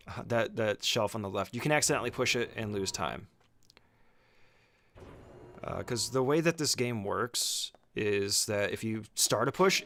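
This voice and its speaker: A man's voice: medium pitch, a bit bright. A man giving a casual, step-by-step speedrun tutorial as commentary, speaking informally and giving short instructions.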